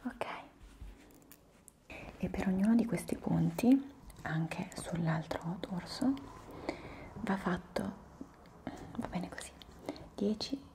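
A young woman whispers softly in an ASMR roleplay as a reflexology therapist. Her voice is breathy and a little low for a woman. She gives polite, soothing instructions at a very slow, unhurried pace. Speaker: ASMR performer playing a palm reflexology therapist. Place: small indoor room at a table. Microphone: lavalier microphones close by.

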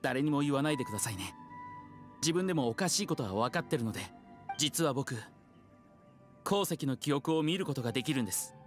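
A man playing a polite, earnest young mine worker in an anime-style video game, speaking softly and courteously. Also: mid-range voice, animated, a little fast, pauses often, natural voice. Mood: earnest, modest. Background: background music.

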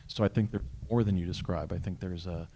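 A man on a panel answering an audience question in a measured, explanatory way. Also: low voice, steady tone, mellow, a little hiss or hum.

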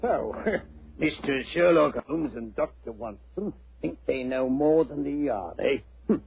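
An actor playing a gruff, stubborn British police inspector with a sneering manner, in 1940s radio style. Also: male voice, medium pitch, animated, a little slow, a little hiss or hum. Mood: scornful. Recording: dull old radio recording.

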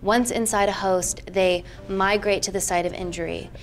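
An actress playing a poised young doctor presenting formally to investors in a film game. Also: female voice, medium pitch, fairly even intonation, moderate pace, close to an everyday voice. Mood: confident, persuasive. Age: young adult. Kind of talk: screen acting, polished corporate presentation. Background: film music.

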